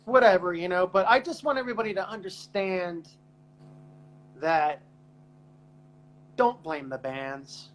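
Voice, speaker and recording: A man with an Eastern Pennsylvania accent, talking on a casual podcast, his voice sitting a bit high. He rambles loosely and swears freely. A faint mains hum runs underneath. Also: unhurried, pauses often, low-quality recording. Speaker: band member and co-host.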